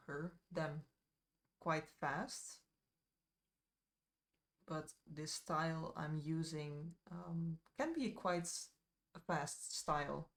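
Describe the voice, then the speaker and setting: A woman with a Dutch accent chatting casually to her live-stream viewers while she paints, rambling a little with fillers and asides. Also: sits low. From Netherlands.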